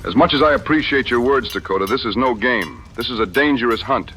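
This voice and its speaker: A man playing a seasoned, calm frontier hunter in an audio drama, measured, plain-spoken and determined. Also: medium pitch, a little hiss or hum, close to an everyday voice, poor audio. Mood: grave, resolute.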